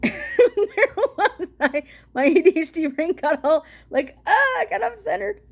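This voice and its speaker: A woman hosting a livestream, chatting excitedly with viewers and reading a policy document aloud, with a loud voice and a casual, swearing manner. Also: extremely high pitch, a little slow, creaky.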